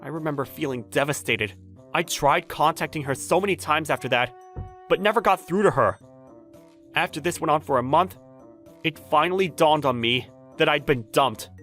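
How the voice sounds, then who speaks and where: A man's voice: a little high, normal speed, pushed and bright. A man playing a flustered, jilted bachelor who narrates his awkward romance with dramatic, exasperated inner monologue in a manga dub. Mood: wistful narration. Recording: background music under the voice.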